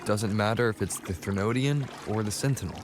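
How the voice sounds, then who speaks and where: A man playing a kind young adventurer hero in a video game, calm and encouraging in manner. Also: on the low side, fairly quick, lightly acted. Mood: reassuring. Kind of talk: scripted video game voice acting. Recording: music under the voice.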